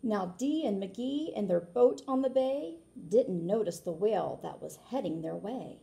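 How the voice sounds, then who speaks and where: A woman reading a children's picture book aloud like a teacher, animated, with explanatory asides and questions to her listeners. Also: mid-range voice, measured pace, gravelly.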